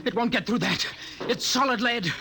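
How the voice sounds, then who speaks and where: An American actor playing a young husband on the run, awed, tender and anxious, in an earnest, dramatic 1950s radio play style. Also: male voice, extremely high pitch, normal speed, pushed and bright. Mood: urgent. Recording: noisy 1950 radio transcription.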